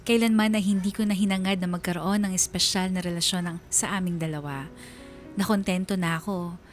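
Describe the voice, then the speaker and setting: A woman's voice: mid-range voice, lightly acted. A woman playing an earnest young woman who narrates her own story in a scripted radio drama. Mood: wistful. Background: faint music.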